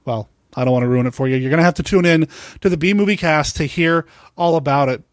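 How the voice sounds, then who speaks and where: Male American podcast host, slightly high voice, dry close sound, keen and generous with praise, chatting casually. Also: fast, no room sound. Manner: enthusiastic, complimentary. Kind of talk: casual podcast talk on classic monster movies.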